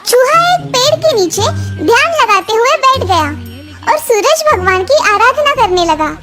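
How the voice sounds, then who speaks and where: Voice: very high-pitched, lively intonation, measured pace, over music. A narrator reading a scripted story for children.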